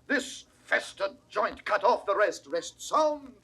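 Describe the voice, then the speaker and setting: High, husky, outraged old duke. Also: male voice, very animated, slow, fairly bright tone, exaggerated voice. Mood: bitter outrage. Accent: British. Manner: vehement declamation. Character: stern, unforgiving old duke, outraged father. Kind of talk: classical Shakespearean stage acting. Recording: vintage 1960s studio recording.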